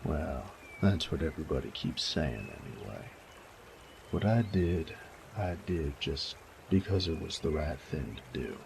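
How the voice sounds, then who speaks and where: Man voicing a deep, gravelly young rogue. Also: animated, a little slow, noisy background, full character voice. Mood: cocky, guarded. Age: young adult. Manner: dry, casual, unpolished speech. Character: low-voiced young rogue commoner, newly made knight, cocky. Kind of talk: audio roleplay drama acting.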